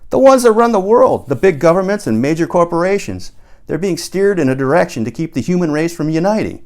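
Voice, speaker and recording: A man's voice: fairly high pitch, sing-song, a little fast, pitched above the performer's own voice. A man voicing an urgent, ranting middle-aged stoner conspiracy theorist in an audiobook, with emphatic, preachy delivery. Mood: insistent. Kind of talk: audiobook character dialogue.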